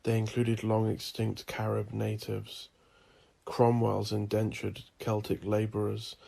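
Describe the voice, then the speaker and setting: A man narrating a dark audio drama in a low, gravelly voice with plenty of vocal fry. His tone stays flat and husky as he delivers each line slowly and ominously.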